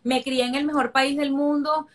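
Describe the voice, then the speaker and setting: A Venezuelan woman in her forties with a bright, high voice. She talks emphatically as an interview guest, stressing points by repeating them. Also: little pitch movement, moderate pace. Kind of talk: conversational interview.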